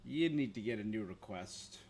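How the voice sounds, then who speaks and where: A man's voice: fairly low pitch, lively intonation, normal speed, a little mellow, a little hiss or hum. A man hosting a gaming livestream, chatting casually and informally with his viewers in a laid-back way. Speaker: game streamer, host.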